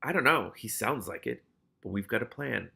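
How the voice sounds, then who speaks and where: A man's voice: on the low side, animated, normal speed, gravelly, sharp tone, slightly rough, full character voice. A man voicing an earnest, trusting boy in a storybook reading, plain-spoken and a little worried.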